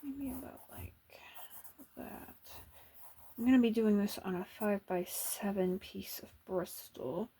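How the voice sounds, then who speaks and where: A woman chatting softly as she draws for an ASMR video, thinking aloud with hesitant pauses and often slipping into whispers, close to the mic. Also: medium pitch, breathy edge. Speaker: artist drawing on camera. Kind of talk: soft-spoken ASMR drawing chat.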